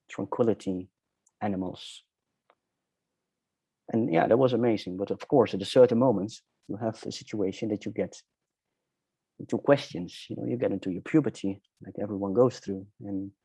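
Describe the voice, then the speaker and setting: A middle-aged man from the Netherlands with a Dutch accent, telling his personal story reflectively and haltingly in conversation, searching for words. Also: on the low side, vocal fry, dark tone, husky, no echo, low-quality recording. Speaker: interview guest.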